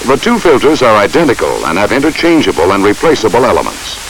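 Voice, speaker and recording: Male narrator for an instructional film: formal and measured, lively intonation, slightly hissy vintage soundtrack. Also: fairly low pitch, rough recording.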